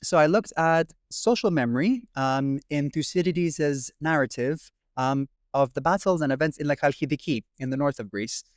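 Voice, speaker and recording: A man's voice: fairly high pitch, normal speed, hardly any pauses, dry recording, studio quality. Young man with an American accent. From Italy. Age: young adult. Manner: talks fluently with frequent ums and sort ofs. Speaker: guest, doctoral researcher in classics. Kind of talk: casual interview answers.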